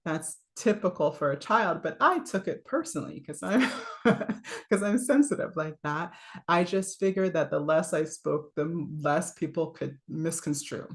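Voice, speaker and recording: A woman coach with a low voice, giving a casual, chatty talk full of fillers, little laughs and "right?". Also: animated, measured pace. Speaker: voice and leadership coach.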